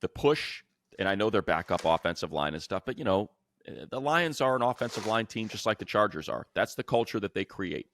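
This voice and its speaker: A man's voice: lowish voice, animated, brisk, a bit bright, slight background noise. An American man co-hosting a sports talk show, giving unscripted, emphatic analysis in colloquial language. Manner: emphatic, colloquial, acts out imagined dialogue. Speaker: sports podcast co-host and play-by-play announcer.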